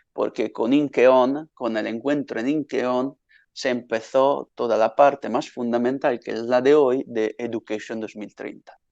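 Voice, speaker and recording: An academic man with an Italian accent, a native speaker of Italian, lectures in a deliberate, emphatic manner. His mid-pitched voice has a lot of creak in it. The recording is dull and lo-fi. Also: darkish tone, no room sound. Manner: deliberate, emphatic, with rhetorical questions and repetitions. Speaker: academic giving a conference lecture. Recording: dull lo-fi audio.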